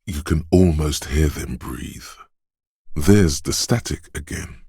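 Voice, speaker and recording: A man narrating a video game as a grave inner voice of the senses, measured, deliberate and ominous. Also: extremely low pitch, dark tone, breathy edge, dry recording, clean studio sound, full character voice. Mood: measured, eerie. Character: solemn male inner voice of heightened senses, ominous and watchful. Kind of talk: atmospheric second-person scripted narration.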